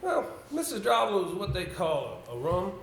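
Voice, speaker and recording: A man's voice: sits high, wildly swooping pitch, moderate pace, heavily acted. A man on stage playing an avuncular older male solicitor, musing and hesitant, in a roomy, slightly noisy recording. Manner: hesitant, musing, throat-clearing. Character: avuncular older male solicitor, senior boss, rambling, brisk. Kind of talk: amateur stage play acting. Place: indoor stage, picked up with room sound.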